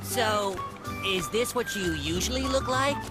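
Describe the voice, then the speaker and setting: An actor voicing a small, excitable, naive magical flying creature in a video game cutscene. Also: mid-range voice, a little slow, loud music behind the voice, lightly acted. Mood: hesitant, curious.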